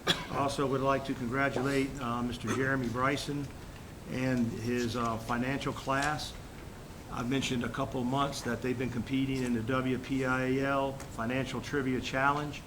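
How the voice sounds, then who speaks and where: A man with a head cold giving a formal superintendent's report at a school board meeting, halting with fillers and restarts. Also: little pitch movement. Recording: noisy room recording with rumble.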